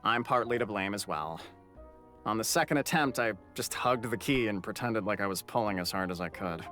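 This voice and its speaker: A flustered game character, a clan member at the end of their patience. The delivery is halting and exasperated, swinging widely in pitch over background music. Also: female voice, expressive, fairly bright tone. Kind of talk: scripted game voice acting. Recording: music under the voice.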